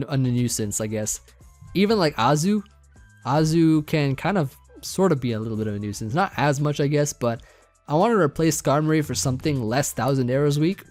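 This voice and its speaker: A young man casually talking through a team build, rambling with many fillers, his voice getting a bit hoarse. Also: mid-range voice, dry recording. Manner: relaxed, rambling, many fillers and restarts, light laughs. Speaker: competitive Pokemon team builder. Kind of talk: casual gaming commentary over team building.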